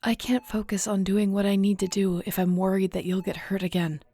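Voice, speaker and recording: A woman playing a fierce, protective, stubborn but tender wolf-shifter in an intimate audio roleplay, hushed and firm. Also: medium pitch, little pitch movement, a little fast, close to an everyday voice. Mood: stern, protective.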